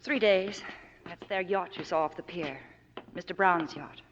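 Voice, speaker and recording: An actress playing an earnest, plain-spoken young widow in a 1940s radio drama. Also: female voice, on the low side, measured pace, close to an everyday voice. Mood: matter-of-fact. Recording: reverberant, muffled, noisy 1940s radio recording.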